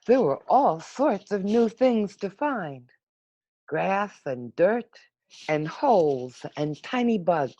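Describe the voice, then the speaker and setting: Slow, very animated woman storyteller. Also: medium pitch, no room sound. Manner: expressive, unhurried with dramatic pauses. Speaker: storybook reader. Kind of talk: read-aloud children's story narration. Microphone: video call. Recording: muffled, lo-fi video call audio.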